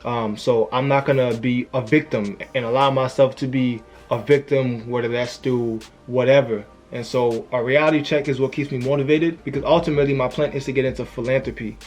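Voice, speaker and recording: Young guy talking straight to camera, earnest and chatty, soft music under him. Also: medium pitch. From family from Haiti, raised in the US. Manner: earnest, conversational, frequent "you know what I'm saying". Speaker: motivational YouTuber. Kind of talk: casual motivational talk to camera.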